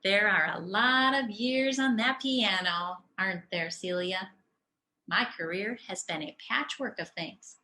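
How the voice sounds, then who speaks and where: Woman voicing a kindly, unhurried older dance teacher. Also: mid-range voice, bright, forceful tone. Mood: warm, reflective.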